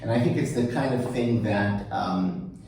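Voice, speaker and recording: A man's voice: on the low side, measured pace, creaky, a little mellow, heavy reverb, distant mic, slight background noise, rough recording. A man with a New York accent hosting a casual interview podcast in an office, friendly and chatty with easy laughs. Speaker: podcast host, chamber president.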